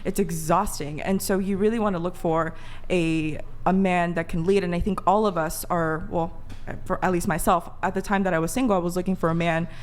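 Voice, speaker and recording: Low-voiced woman coaching on dating. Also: fairly even intonation, brisk. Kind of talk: panel talk.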